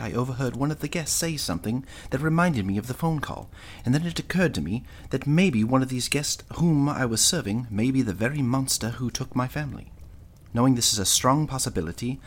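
An actor playing an anxious servant reading out his secret confession in a radio mystery, in a grave, measured way. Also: mid-range voice, close to an everyday voice. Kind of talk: radio mystery drama, narrated written confession.

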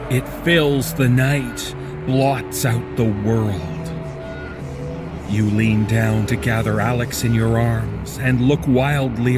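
Casting: male storyteller for horror fiction, very slow, intense, deliberate delivery over prominent music. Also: mid-range voice. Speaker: story narrator. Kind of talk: dramatic scripted horror narration. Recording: prominent music bed behind the voice.

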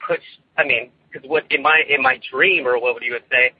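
A young man, calling in by phone and telling a personal story in a casual, rambling, nervous way, often halting and repeating himself. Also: mid-range voice, fairly even intonation, breathy edge, no echo. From California, United States. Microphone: phone line. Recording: tinny, boxy phone call.

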